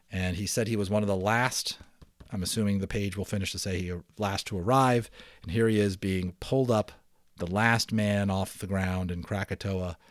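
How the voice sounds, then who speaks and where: A man narrating a children's novel in a dramatic, emphatic read-aloud style, sometimes dropping softer to explain things to listeners. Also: fairly low pitch, no echo, studio quality. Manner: expressive, emphatic, with hushed drops.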